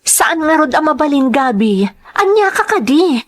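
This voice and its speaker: A woman's voice: sits high, big pitch swings, normal speed, pitched above the performer's own voice. A woman with an Ilocano accent playing an anxious, pleading young pregnant girlfriend in an audio drama. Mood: exasperated. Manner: worried, pleading.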